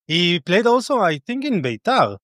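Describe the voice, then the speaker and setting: A man from Jerusalem hosting a football podcast, asking questions in a halting, casual way. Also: sits high, big pitch swings, fairly bright tone, dry recording, studio quality. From Jerusalem, Israel. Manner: halting, fills pauses with "uh". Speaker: podcast host. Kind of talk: casual interview chat.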